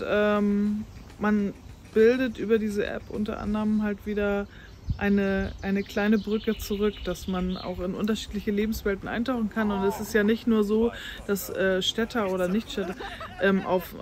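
A woman's voice: medium pitch, a little mellow, a little hiss or hum. A woman, a farm life blogger talking casually outdoors on a farm, with many fillers and restarts. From North Frisia, northern Germany. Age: 30s. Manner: chatty, with many fillers and restarts, laughs easily. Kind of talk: casual interview talk. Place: outdoors on a farm lawn by a brick farmhouse.

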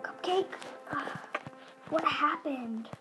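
A woman's voice: sits high, animated, slow pace, over music, poor audio. A woman giving casual, playful, chatty commentary during a video game roleplay. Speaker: gaming video host.

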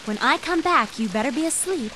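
A woman playing a loving but firm young mother in a fan-dubbed animated drama. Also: sits high, fairly quick. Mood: stern. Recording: noisy amateur dub with ambience.

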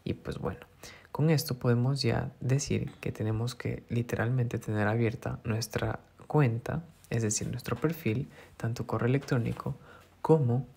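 A man's voice: medium pitch, vocal fry, darkish tone, husky. A man hosting a phone app tutorial, explaining each step patiently and repeating himself. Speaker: tutorial host. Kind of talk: screen-recorded phone tutorial.

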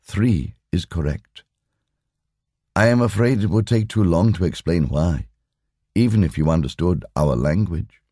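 A man voicing a calm, formal lama in an audiobook story, speaking in a measured, unruffled way. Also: sits low, no echo, studio quality. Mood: patient, formal. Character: calm, imperturbable male Tibetan lama explaining patiently.

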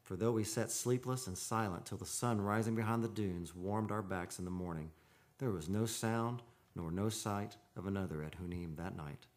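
An actor playing an earnest, haunted man of seafaring stock in an old-time radio drama, speaking in a solemn, measured, poetic way. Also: fairly low pitch, natural voice. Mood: hushed, solemn. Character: earnest, haunted seafarers' descendant telling his eerie tale. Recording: live radio studio with music behind.

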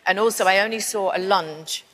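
A woman's voice: medium pitch, normal speed, crowd noise. A woman giving a spoken critique as a competition judge on live TV. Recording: reverberant large hall.

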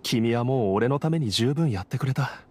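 A man's voice: medium pitch, lively intonation, moderate pace, darkish tone, a little hiss or hum, lightly acted. A man playing a resigned, overworked graduate student in a Japanese game, speaking in a subdued way.

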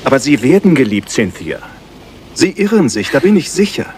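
An actor playing an earnest, naive and gallant gentleman officer, kindly reassuring, in a German radio crime drama. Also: mid-range voice, very animated, normal speed, slight background noise, close to an everyday voice.

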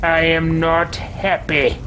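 A man's voice: fairly high pitch, flat delivery, slow pace, noisy background. A man voicing a haughty, easily frustrated old fantasy adventurer in a video game, with pompous, archaic, theatrical speech. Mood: indignant frustration. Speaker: gaming streamer roleplaying a character. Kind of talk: in-character roleplay game commentary. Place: small room with packed shelves, indoors.

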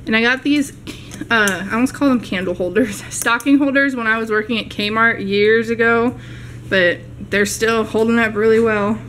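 A woman's voice: on the high side, steady tone, normal speed, a bit bright, slight background noise. Chatty young woman showing a haul. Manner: chatty, rambling show-and-tell. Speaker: vlogger. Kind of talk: casual haul video narration. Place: home living room.

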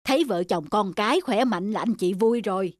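A woman playing a humble, generous older country relative in an animated family drama, homely and reassuring. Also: mid-range voice, moderate pace, dry recording, studio quality, natural voice. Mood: tender.

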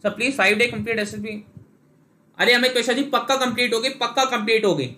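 A man's voice: very high-pitched, steady tone, quick pace, sharp tone. A young Indian man coaching students in a live online class, explaining patiently and calling on viewers by name. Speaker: SSB interview coach.

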